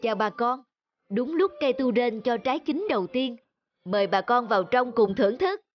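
A woman with a Southern Vietnamese accent voicing a gentle, solemn old village man in a cartoon folk tale. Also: fairly high pitch, expressive, moderate pace, highs cut off, natural voice. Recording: music behind the voice.

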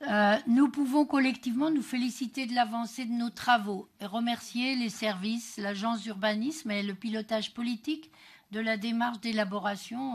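A woman with a French accent from France delivers a formal, prepared political speech as an elected councillor, in a measured manner with occasional stumbles. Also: a little high. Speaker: elected metropolitan councillor, group co-president.

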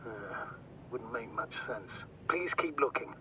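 An actor playing a grave, measured male space commander in a video game, speaking formally over a radio. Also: medium pitch, expressive, bright, forceful tone. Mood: concerned, firm. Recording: distant radio link with heavy noise.

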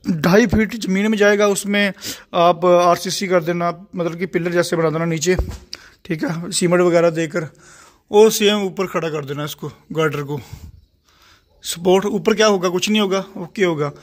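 High-voiced Punjabi man touring his poultry shed. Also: steady tone, normal speed. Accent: Punjabi-tinged Hindi. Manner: plain, repetitive, addresses viewer directly. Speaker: poultry farmer giving a shed tour. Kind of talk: casual walk-through explanation. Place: large open-sided poultry shed under construction. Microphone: phone camera held while walking.

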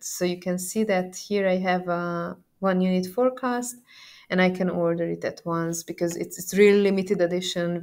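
A woman presenting as a software trainer, explaining a demo conversationally and repeating words as she searches for phrasing. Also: lowish voice.

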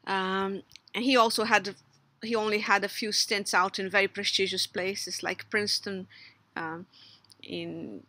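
Middle-aged woman, Brazilian Portuguese accent, hesitant tribute speaker, bright tone, dry close webcam sound outdoors. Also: medium pitch, steady tone, unhurried, dry recording. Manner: hesitant, many fillers and restarts. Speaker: logician giving a recorded tribute. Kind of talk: recorded memorial tribute, partly read. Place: outdoors under a patio umbrella in a garden.

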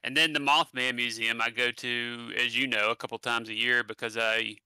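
Bright-voiced West Virginian man, halting. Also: mid-range voice, level tone, clear, resonant tone, dry recording, poor audio. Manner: halting, many fillers and restarts. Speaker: guest, paranormal channel creator. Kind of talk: casual conversational interview. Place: home room, indoors. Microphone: headset mic on a video call.

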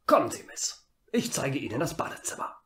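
A man's voice: mid-range voice, sing-song, a little fast, fairly bright tone, far from the mic. An actor playing a wry, courteous older man in an audio drama. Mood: courteous charm. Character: old man Joe, courteous, wry, gallant friend.

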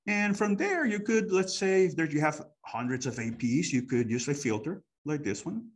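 A man's voice: on the high side, lively intonation, moderate pace. A man giving an unscripted product demonstration as an expert, explaining technical steps conversationally.

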